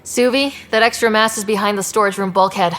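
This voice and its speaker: A woman's voice: mid-range voice, steady tone, a little fast, a bit bright, a little hiss or hum, lightly acted. An actress playing a young, wry woman who leads a starship crew in a video game, speaking conversationally. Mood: matter-of-fact. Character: young woman space explorer, wry, steady ship leader.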